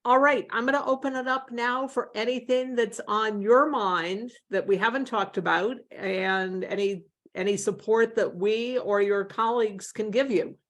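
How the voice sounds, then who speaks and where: A woman's voice: fairly high pitch, moderate pace, few pauses. A woman moderating a meeting and opening the floor to participants in an informal discussion. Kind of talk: meeting facilitation.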